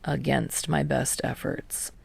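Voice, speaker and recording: A sardonic young man played by a woman narrator in an audiobook story, muttering low with heavy creak. He is terse and halting, grudging every word in a breathy deadpan. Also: no echo, exaggerated voice. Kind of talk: fan fiction audiobook dialogue.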